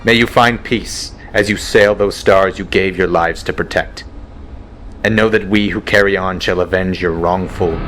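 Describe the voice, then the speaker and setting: A man playing a weary fighter-wing colonel who speaks in a solemn, measured way in a fan audio drama. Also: deep voice, steady tone, fairly bright tone, slight background noise, poor audio. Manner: solemn and measured, dryly blunt in private. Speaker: colonel and squadron commander.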